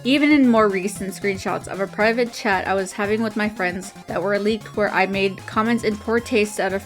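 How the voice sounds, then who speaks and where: A woman reading out a scripted personal apology statement. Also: medium pitch. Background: background music.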